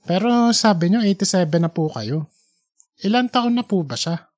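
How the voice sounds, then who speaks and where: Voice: mid-range voice, animated, moderate pace, darkish tone, soft background music, natural voice. A performer voicing a respectful, inquisitive young male interviewer in an audiobook story. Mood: puzzled. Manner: respectful, questioning. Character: polite, curious young male interviewer, easily baffled. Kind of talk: fictional audiobook character dialogue.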